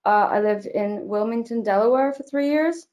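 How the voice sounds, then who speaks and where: A woman's voice: a little high, moderate pace, a little mellow, muffled recording. A woman raised in Switzerland and Germany, speaking as an interview guest over a video call in a chatty, digressive way. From born in Northern Ireland, raised in Switzerland and Germany. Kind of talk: casual interview chat.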